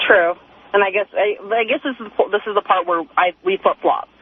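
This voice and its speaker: A woman's voice: mid-range voice, fairly quick, slight background noise. A woman, a hesitant, rambling and deferential caller chatting casually over the telephone. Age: 20s. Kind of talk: casual phone-in chat. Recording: tinny, narrow telephone line on radio.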